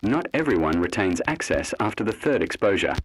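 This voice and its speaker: Low-voiced man narrating ominous horror. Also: big pitch swings, a little hiss or hum, rough recording. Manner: measured, cryptic, clipped short phrases with deliberate pauses. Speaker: narrator of an analog horror video. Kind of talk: scripted horror narration, ominous second-person address.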